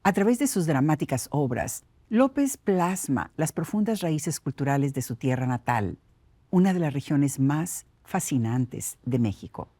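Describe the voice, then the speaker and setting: A woman's voice: sits low, expressive, unhurried, mellow, dry recording. A woman reading polished, scripted narration for a magazine program. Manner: polished, announcer-like.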